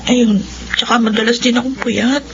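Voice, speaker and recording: A woman's voice: on the high side, steady tone, normal speed, gravelly, a little hiss or hum. A woman playing an anxious, stubborn young breadwinner working overseas, with a Filipino accent, in a radio drama. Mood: evasive, uneasy. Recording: dull lo-fi radio drama, music underneath.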